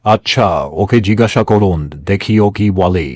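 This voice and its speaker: Man voicing a deep, sly cartoon tiger. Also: fairly even intonation, normal speed, darkish tone, dry recording, studio quality, close to an everyday voice. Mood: smug, confident. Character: sly, ungrateful man-eating male tiger. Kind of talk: cartoon fable dialogue.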